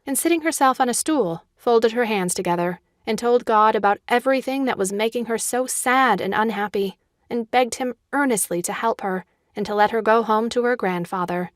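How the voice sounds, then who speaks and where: A woman narrating a classic children's audiobook in a measured, scripted storytelling manner. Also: fairly high pitch, dry recording, clean studio sound.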